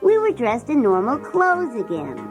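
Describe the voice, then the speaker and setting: A schoolchild telling a storybook adventure with wide-eyed wonder. The voice is fairly high and very animated, with music clearly playing behind it. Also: female voice, moderate pace, highs cut off. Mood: wide-eyed recounting. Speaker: narrator. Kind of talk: scripted children's story narration.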